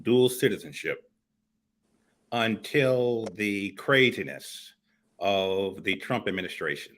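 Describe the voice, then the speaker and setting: A middle-aged man from Miami with a very low, forceful and bright voice. He co-hosts a casual morning panel, pausing often on "uh" and restarting his sentences. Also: lively intonation, slight background noise. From United States, Miami. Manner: halting, many "uh" fillers and restarts. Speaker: morning show co-host.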